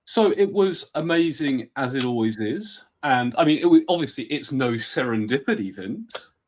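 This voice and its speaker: A man talks with a husky, cold-worn voice. He rambles enthusiastically through casual conversation with plenty of fillers. His pitch sits in an ordinary middle range. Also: expressive, normal speed, darkish tone. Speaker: podcast guest.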